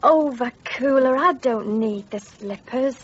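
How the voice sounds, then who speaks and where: A soft, tender young beauty, high voice, unhurried, lo-fi radio drama with faint music. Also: female voice, breathy edge, full character voice. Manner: soft, slow, heartfelt. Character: proud young village beauty, now remorseful.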